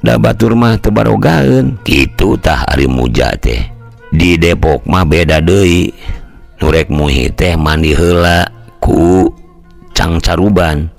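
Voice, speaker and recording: Older sundanese guy telling folk tales, super deep creaky voice, slow, soft music behind. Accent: Sundanese-speaking West Java. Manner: colloquial, conversational. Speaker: storyteller. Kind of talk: traditional folk tale narration with acted dialogue.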